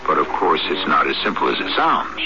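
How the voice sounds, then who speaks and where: A man's voice: a bass voice, very animated, fairly quick, music in the background. A man hosting a radio drama with wry, urbane, theatrical scripted narration. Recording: muffled old radio broadcast.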